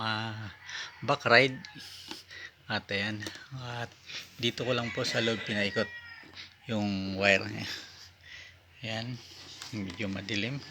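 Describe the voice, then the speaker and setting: A man with a Filipino accent giving a casual product demonstration as a vlogger, in halting, piecemeal phrases. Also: lowish voice, background noise. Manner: halting, piecemeal delivery with repeated short filler phrases. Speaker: vlogger reviewing gear.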